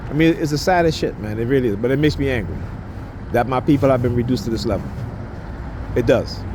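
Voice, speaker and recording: A man's voice: mid-range voice, animated, fast talker. A man in his fifties speaking emphatically in rambling street talk, often tagging "right?" and stumbling over repeated words as he interviews passersby. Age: 50s. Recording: loud traffic and street noise.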